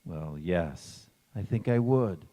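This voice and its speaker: A man plays a fond, indulgent husband in a radio drama, teasing gently. The voice is lowish and very mellow, with theatrical swoops in pitch, carried in an echoey hall. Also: expressive, takes it slowly. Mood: reassuring, teasing. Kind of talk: stage radio-play acting. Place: large reverberant church or hall.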